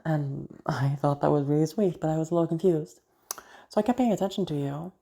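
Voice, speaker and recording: A woman playing a crazy, seductive female patient in an audio roleplay, teasing and menacing, with halting, drawn-out phrases that drop toward a hush. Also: deep voice, creaky. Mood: coy, teasing. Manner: teasing, halting, drawn-out words with sudden hushed drops. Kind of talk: audio roleplay monologue addressed to listener.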